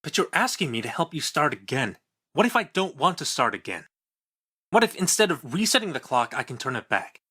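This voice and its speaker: An actor playing an idealistic, restless young robot adventurer, earnest and eager, with a husky bright voice. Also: male voice, on the high side, sing-song, brisk, heavily acted. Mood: earnest, hopeful.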